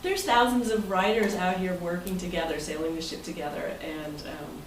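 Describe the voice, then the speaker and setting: A woman's voice: lowish voice, fairly even intonation, moderate pace. A woman giving an informal, chatty club presentation as its president, speaking conversationally to members. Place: indoor meeting room with members present. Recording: roomy, distant mic, noisy meeting room.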